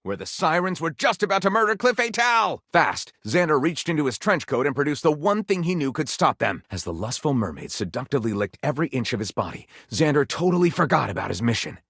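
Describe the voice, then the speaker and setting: A man's voice: on the high side, very animated, quick pace, hardly any pauses, a bit bright, husky, no room sound, clean studio sound, heavily acted. A man playing a theatrical, tongue-in-cheek narrator of pulpy detective tales in a video game, arch and savoring every dramatic turn.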